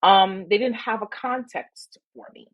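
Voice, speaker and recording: Husky-voiced woman guest. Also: medium pitch, a little slow, lo-fi sound. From Trinidad. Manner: reflective storytelling with laughs. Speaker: attorney guest. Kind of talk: podcast interview.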